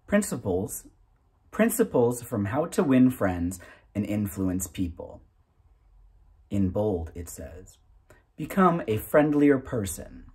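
Husky, lively man reading aloud slowly. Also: on the low side. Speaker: narrator reading a book aloud. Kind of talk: reading aloud from a self-help booklet.

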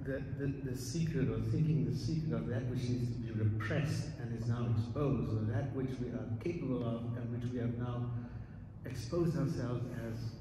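A man's voice: mid-range voice, steady tone, moderate pace. Afrikaans-speaking man in an echoey hall. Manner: searching, thinks aloud with restarts. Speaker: audience member asking a question. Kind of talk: academic question from the floor. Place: large conference room. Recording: echoey hall from far off, dull, faint noise.

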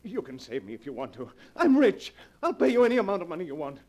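A man's voice: sits high, very animated, fast talker, darkish tone. An actor playing a crooked rich businessman in a 1940s radio melodrama, desperate and pleading.

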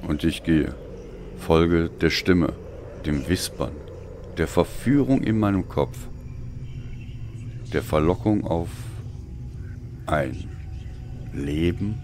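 A man narrates a dark, dramatic story in a very deep voice. He takes his time, slow and solemn, with a brooding delivery. Clear background noise sits behind him. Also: expressive. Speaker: audiobook reader.

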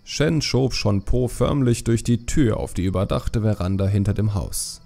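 A man's voice: fairly low pitch, moderate pace, gravelly, warm tone, faint music behind. A man reading scripted fantasy story narration as an audiobook narrator.

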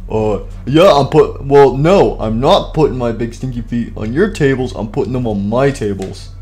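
A man's voice: mid-range voice, normal speed, darkish tone, faint music behind, lightly acted. A man voicing a cocky, lazy zombie monster with a blunt, taunting delivery in a comic skit. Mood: smug. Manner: blunt, taunting, laid-back. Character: cocky, lazy, gruff male zombie monster, self-styled big spooky. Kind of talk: improvised comic character skit.

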